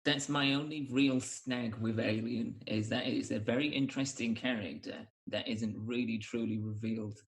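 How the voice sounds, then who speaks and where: A man's voice: mid-range voice, fairly even intonation, normal speed, few pauses, poor audio. A man, hosting a podcast and chatting casually about films. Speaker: podcast host. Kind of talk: casual film discussion.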